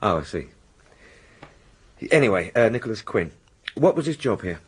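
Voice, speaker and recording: British police sergeant, lowish husky voice, polite but direct, radio drama. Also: male voice, very animated, moderate pace, heavily acted. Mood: polite, curious. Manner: deferential but direct questioning. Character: polite, plain-spoken police sergeant, steadily inquisitive.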